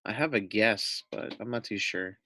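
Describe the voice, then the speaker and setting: A man's voice: fairly low pitch, normal speed, bright, forceful tone, a little hiss or hum. A man reading a children's story aloud like a teacher, expressively, with asides to his listeners. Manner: addresses listeners with questions and asides.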